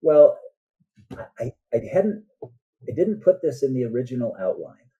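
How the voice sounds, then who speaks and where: A man's voice: mid-range voice, sing-song, measured pace, warm tone, slightly rough, highs cut off. An older man talking as a guest author in an interview, explaining his thoughts earnestly in a conversational way.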